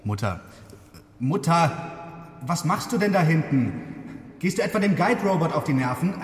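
A man's voice: fairly high pitch, expressive, normal speed, big-room sound, lightly acted. A man playing a boastful, doting father in a children's radio play, with a breezy, showy manner. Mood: eager, bossy. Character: boastful, doting father, newly arrived on space ark.

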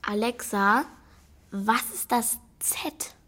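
A woman's voice: on the high side, extremely slow, fairly bright tone, exaggerated voice. A woman playing an unsure, wounded young girl, hesitant and questioning, in a children's radio play. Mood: hesitant, curious. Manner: hesitant, subdued.

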